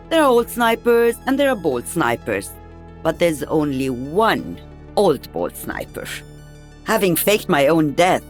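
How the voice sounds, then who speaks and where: A woman playing an elderly veteran sniper in a video game, with a dry, wry and weary delivery. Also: low voice. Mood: wry, firm. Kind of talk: video game character voice lines.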